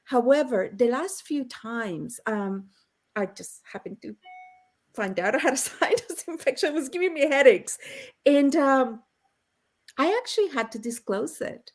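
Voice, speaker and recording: A woman's voice: sits high, expressive, measured pace. Chatty woman host on a video call. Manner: chatty, laughing storyteller. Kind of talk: casual panel chat.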